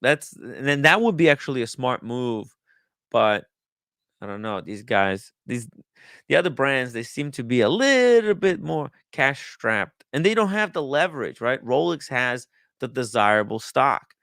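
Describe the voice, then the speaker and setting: A man hosting a livestream in casual talk, haltingly thinking aloud as he goes. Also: mid-range voice, very animated, gravelly, a bit bright, no room sound, clean studio sound. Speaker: livestream host. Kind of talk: casual livestream chat, reading viewer comments and riffing.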